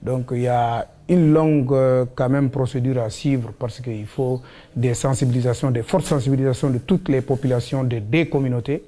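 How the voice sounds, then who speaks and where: A man speaking in a documentary interview, explaining things with many pauses and fillers. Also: mid-range voice, fairly even intonation, mellow.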